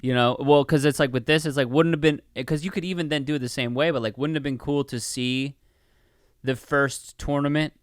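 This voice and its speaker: A man's voice: on the high side, steady tone, a little fast, clean tone, no echo, studio quality. A man co-hosting a podcast in casual chat, rambling and peppering his talk with "like" and swearing. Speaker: podcast co-host. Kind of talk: casual chat, film review.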